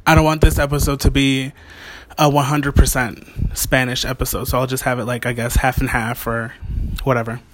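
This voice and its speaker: A man's voice: mid-range voice, little pitch movement, moderate pace. A man in his twenties chatting casually as host of an amateur show, switching between English and Spanish with playful, foul-mouthed teasing. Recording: noisy amateur home recording.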